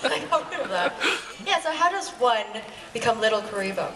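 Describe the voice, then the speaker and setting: Higher-voiced woman hosting in an echoey hall. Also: sing-song, moderate pace. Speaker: panel host, interviewer. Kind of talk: casual, playful live interview. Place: large indoor convention hall, on stage. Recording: echoey hall, distant mic, crowd, noise.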